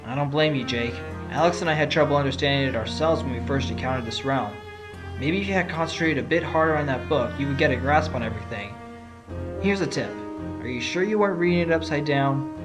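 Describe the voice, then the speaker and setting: A man playing a confident, articulate defense lawyer with a quick wit in an audio drama. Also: mid-range voice, close to an everyday voice. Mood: wry. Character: confident, quick-witted male defense lawyer with teasing streak. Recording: music behind the voice. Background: background music.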